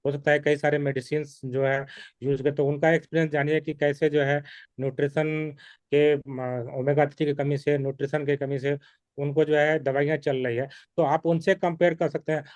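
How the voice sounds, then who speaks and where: A man's voice: fairly high pitch, little pitch movement, moderate pace, darkish tone, dry recording. A man who sells health products, answering a customer's objections hesitantly and with many fillers. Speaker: network marketing distributor.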